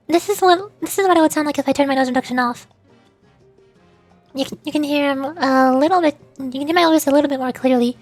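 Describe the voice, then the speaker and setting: High-pitched giggly woman streamer. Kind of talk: casual livestream chat.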